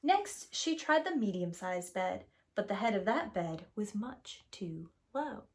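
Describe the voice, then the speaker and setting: A woman reading a storybook aloud to young children as a preschool teacher, with expressive, emphatic storytelling. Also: medium pitch, unhurried.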